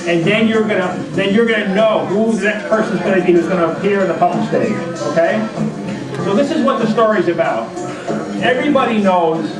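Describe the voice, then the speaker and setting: A high-pitched man talks to children as a puppet show presenter. He explains things simply and reassuringly, repeating himself and asking questions. The sound is distant and echoey, in a reverberant room. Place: indoor library event room. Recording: echoey room, distant mic, loud music.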